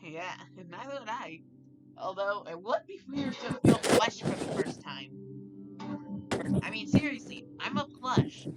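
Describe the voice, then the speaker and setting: A woman's voice: medium pitch, sing-song, measured pace, over loud music, low-quality recording. A woman voicing a talkative living plush toy in a casual, playful reaction chat, silly and curious. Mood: bemused rambling. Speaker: reaction video host.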